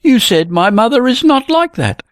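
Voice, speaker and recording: Wounded, easily offended young man, voiced by the book's narrator. Lively, dry delivery. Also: medium pitch, measured pace, creaky, no room sound, voice pushed up, heavily acted. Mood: heated. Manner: hurt, heated, pleading. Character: touchy, sensitive young man, quick to hurt.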